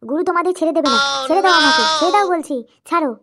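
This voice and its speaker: A woman voicing a high, defiant young wife. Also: fairly even intonation, racing. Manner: pleading, defiant. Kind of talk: homemade cartoon voice acting. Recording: loud background music.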